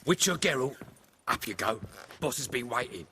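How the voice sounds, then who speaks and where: A man playing a rough, curt henchman in a fantasy video game. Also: mid-range voice, sing-song, moderate pace, pushed and bright, exaggerated voice. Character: rough, curt male henchman guarding the boss. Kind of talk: dramatic video game dialogue.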